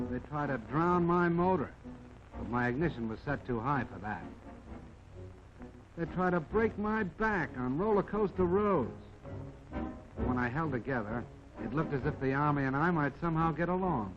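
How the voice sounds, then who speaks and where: A man's voice: medium pitch, lightly acted. A man voicing a modest, plucky army jeep that tells its own life story in a folksy, wry 1940s film narration. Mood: humble, wry. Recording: dull vintage 1940s film soundtrack, music behind.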